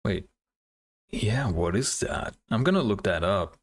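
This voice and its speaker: A man's voice: lowish voice, expressive, unhurried. A man hosting a reaction video, commenting casually and thinking aloud in an informal, easily amazed way.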